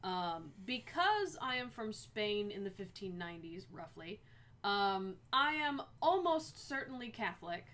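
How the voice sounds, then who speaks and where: A woman's voice: medium pitch, sing-song, a little slow, fairly bright tone. A woman co-hosting a casual chat, rambling with many ums as she explains things in detail.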